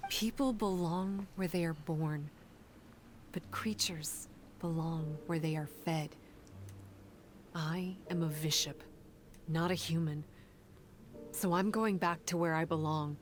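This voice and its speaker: A woman's voice: lowish voice, slight background noise. A woman voicing a guarded, solemn young female creature in a video game, formal and grave, bitter toward humans. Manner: formal, grave, measured wording. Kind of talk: video game character dialogue. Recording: game music behind the voice. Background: background music.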